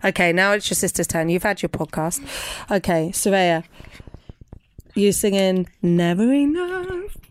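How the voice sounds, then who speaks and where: Woman, podcast-host mum, mid-pitched, playfully coaxing a child through a casual interview. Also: animated, unhurried. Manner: encouraging, playful prompting.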